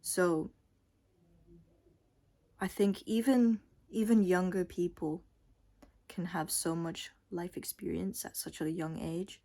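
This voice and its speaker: A woman's voice: on the low side, fairly even intonation, slow, long pauses, warm tone. A young adult woman vlogger giving a casual, unscripted monologue in a rambling, blunt way with casual swearing. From Scotland and Thailand.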